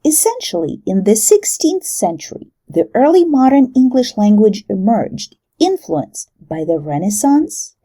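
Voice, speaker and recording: Woman lecturer, lively measured delivery, dark gravelly tone. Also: mid-range voice. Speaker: university lecturer. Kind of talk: recorded lecture narration.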